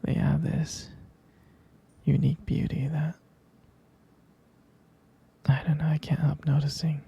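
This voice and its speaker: A tender, doting boyfriend in an ASMR sleep roleplay, acted by a man. His voice is very dark and relaxed, full of crackling fry and husky breath. He murmurs hesitantly and intimately, as if soothing a beloved partner to sleep. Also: heavily acted. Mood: adoring. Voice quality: soft, breathy whisper with low gravelly fry. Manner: slow, tender, hesitant with pet names.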